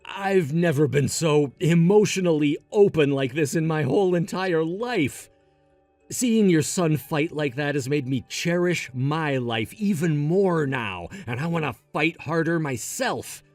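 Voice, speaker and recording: A man's voice: fairly high pitch, expressive, a little slow, darkish tone, dry recording, faint music behind. A man playing an earnest, sentimental family friend in a melodramatic audio story, speaking with heartfelt sincerity. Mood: moved, proud.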